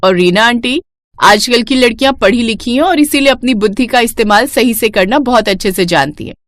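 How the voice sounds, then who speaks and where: A woman voicing a clever, self-assured young bride in a cartoon story, brisk and politely cheeky. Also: on the high side, fairly bright tone, no room sound, studio quality, natural voice. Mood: confident, cheeky.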